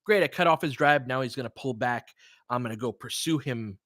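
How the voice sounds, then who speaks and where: A man's voice: mid-range voice, brisk, fairly bright tone, dry recording, studio quality. A man giving live basketball play-by-play and casual analysis as a candid, colloquial fan commentator who reacts openly and swears.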